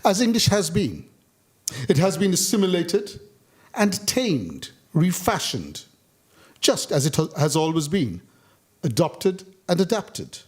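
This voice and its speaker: A man from India reads his own essay in a measured, rhythmic delivery, with a fairly high voice that rises and falls expressively. He pauses deliberately between short, pointed phrases. This is a writer performing a reading at a public talk. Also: wildly swooping pitch, husky. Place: stage of a public talk venue.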